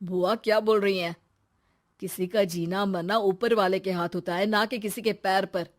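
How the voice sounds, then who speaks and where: A woman's voice: mid-range voice, fairly even intonation, moderate pace, lightly acted. A woman voicing an earnest, caring college-age young man, protective and pleading, in a story. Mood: indignant.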